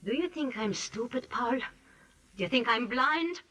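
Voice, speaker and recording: High, intense, proud ballerina. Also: female voice, lively intonation, unhurried, bright, forceful tone, heavily acted. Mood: bitter, vengeful. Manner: clipped, controlled, turning icy and intense. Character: proud, precise, vengeful female prima ballerina. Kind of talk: 1940s radio acting. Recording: distant-miked 1940s radio broadcast.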